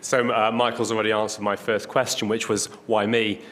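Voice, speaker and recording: A man's voice: on the low side, flat delivery, a little fast, a bit bright, some chatter behind, a little hiss or hum. A middle-aged man giving an informal keynote talk with dry, understated humour and a hesitant, wry delivery. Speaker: keynote speaker, medical oncologist. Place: large conference hall. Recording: echoey hall with long reverb.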